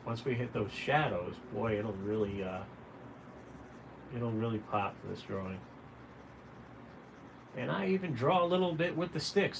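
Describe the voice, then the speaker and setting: Guy with a mid pitched voice doing a chatty folksy art livestream, pretty noisy room sound. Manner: encouraging, folksy, addressing viewers directly. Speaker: caricature artist hosting a drawing livestream. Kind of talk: casual drawing tutorial chat. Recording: clearly noisy room ambience.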